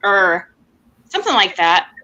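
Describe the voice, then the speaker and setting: A woman guest on a livestream panel who talks haltingly, with many fillers, and giggles often. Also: mid-range voice, very animated, dull audio, a crowd in the background. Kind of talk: casual panel chat.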